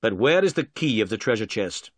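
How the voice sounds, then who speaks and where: Man voicing a calm commanding detective, measured, lively intonation, dry audiobook. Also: lowish voice, no room sound.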